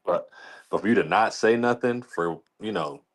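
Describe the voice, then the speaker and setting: A man's voice: on the low side, normal speed. A man talking casually as a league commissioner on a podcast, in a colloquial, rambling way peppered with "you know".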